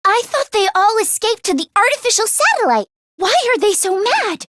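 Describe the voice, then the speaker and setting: An earnest teenage girl fighter from an English-dubbed video game. Her voice sits high and swings widely in pitch, expressive and easily worried, with a caring streak. The sound is dry and close, like a studio game recording. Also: normal speed, dry recording, heavily acted. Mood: uneasy. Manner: expressive and emotive, with sighs and gasps.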